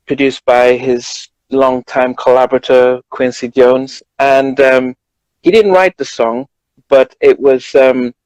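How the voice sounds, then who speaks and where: A British man chats as a guest in a mid-pitched voice. He speaks informally and hesitantly, often doubling back on words as he thinks. The sound is close and dry, without room echo. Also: steady tone, slow, a little mellow, clear, resonant tone. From United Kingdom. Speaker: guest, journalist. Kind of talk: casual chat.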